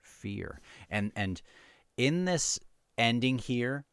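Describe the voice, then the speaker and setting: A man's voice: medium pitch, expressive, drawn-out words, fairly bright tone, clean tone, no room sound, clean studio sound. A man casually discussing a book and reading passages aloud, often stumbling and repeating phrases as he goes.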